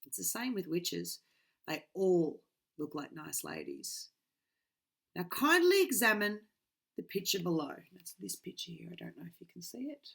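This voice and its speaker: Dramatic, gravelly woman reading aloud. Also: fairly low pitch, sing-song, normal speed. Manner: dramatic, swinging from loud to hushed. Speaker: reader. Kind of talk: reading a children's book aloud. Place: small bedroom indoors. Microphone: webcam.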